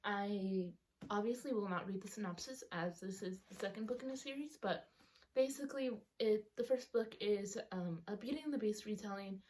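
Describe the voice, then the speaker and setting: A woman's voice: mid-range voice, moderate pace, darkish tone. Chatty young woman talking through a retainer. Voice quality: slightly altered by a retainer. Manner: rambling, self-correcting, with many ums. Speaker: book vlogger. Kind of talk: casual chatty vlog. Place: outdoors or by a sunny window at home.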